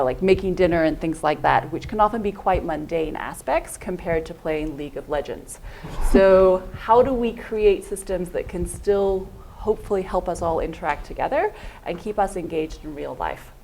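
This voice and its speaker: A woman's voice: low voice, darkish tone, a little hiss or hum, poor audio. A woman giving a thoughtful conference talk, often hedging with "I think" and "um". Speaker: panel speaker.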